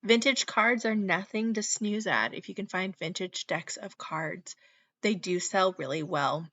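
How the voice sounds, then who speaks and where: A woman in her thirties hosting her own channel, chatting casually in a voice-over, her voice slightly hoarse after being sick, with frequent ums. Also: mid-range voice, unhurried, pushed and bright, no room sound, dull audio. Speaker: channel host, reseller. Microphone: voice-over mic.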